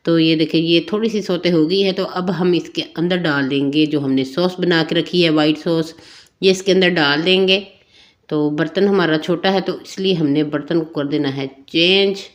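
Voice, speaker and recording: A woman with a Pakistani Urdu accent, a little hoarse, talking through a home cooking recipe in a homely, chatty step-by-step way. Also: lowish voice. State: slightly hoarse throat. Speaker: cooking channel host. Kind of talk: home cooking tutorial voice-over.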